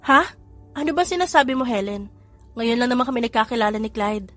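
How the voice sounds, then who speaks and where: Woman voicing earnest young mom, sincere, a bit high, faint music, audio story. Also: lively intonation, a little fast, fairly bright tone. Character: earnest young mother of triplets.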